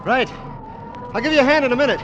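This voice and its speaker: A curious, joking climber. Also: male voice, very high-pitched, fast talker, highs cut off, background noise. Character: curious, skeptical adult male climber. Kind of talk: 1940s radio acting.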